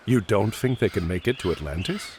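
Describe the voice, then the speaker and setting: A man's voice: fairly low pitch, expressive, fairly quick, heavy background noise, close to an everyday voice. A man voicing a stern, steadfast warrior commander in a video game, serious and commanding. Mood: grave. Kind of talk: dramatic game cutscene acting.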